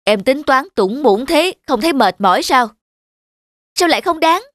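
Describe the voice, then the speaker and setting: A woman's voice: high-pitched, expressive, moderate pace. A woman voicing a thrifty young wife in a cartoon, scolding and insistent. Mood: annoyed, scolding. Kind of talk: cartoon voice acting.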